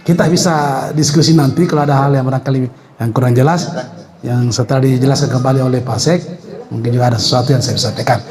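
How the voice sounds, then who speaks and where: A man's voice: medium pitch, fairly even intonation, fast talker, crowd noise. A man in his sixties, a retired health official, gives an informal, joking speech at a meeting, with frequent hesitations. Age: 60s. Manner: chatty and joking, with many hesitations. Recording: echoey room.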